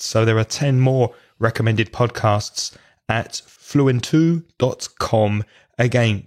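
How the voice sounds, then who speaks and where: A man hosting a podcast for English learners, his voice raspy and croaky, explaining grammar in a clear, teacherly way. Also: mid-range voice, a little mellow. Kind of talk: casual podcast chat.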